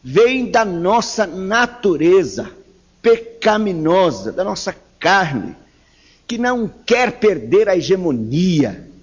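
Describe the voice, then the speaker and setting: A man from São preaches slowly and deliberately, his husky voice swinging widely in pitch as he presses each point. He delivers an emphatic sermon with fervent rises on key words. The sound is dull and lo-fi. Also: sing-song. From São Paulo, Brazil. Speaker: pastor. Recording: dull lo-fi recording.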